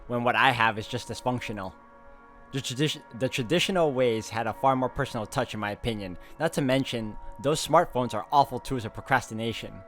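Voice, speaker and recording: A man's voice: medium pitch, a bit bright. A man reading a visual novel's narration aloud as a player, adding informal, chatty commentary. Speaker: game walkthrough player reading along.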